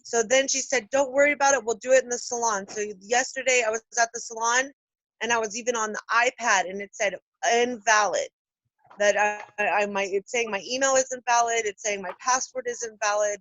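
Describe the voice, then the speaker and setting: A woman's voice: on the high side, brisk, fairly bright tone, dry recording, poor audio. A woman employee in a training video call, talking casually and anecdotally. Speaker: salon employee attending training.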